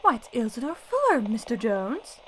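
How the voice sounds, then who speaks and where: A woman's voice: fairly high pitch, very animated, moderate pace, a bit bright, poor audio. A woman playing a gossipy, easily indignant caller to an old radio show, speaking in a coy, theatrical way.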